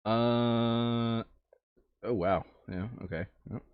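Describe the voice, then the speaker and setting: A man's voice: mid-range voice, fairly even intonation, very slow, clear, resonant tone, no echo, highs cut off. A man chatting casually as a player planning a board game session, thinking aloud and repeating his phrases.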